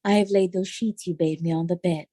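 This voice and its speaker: A woman playing a loyal, plain-spoken waiting woman in a Shakespearean audio drama, steady, earnest and deferential. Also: on the low side, a little fast, natural voice. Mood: concerned.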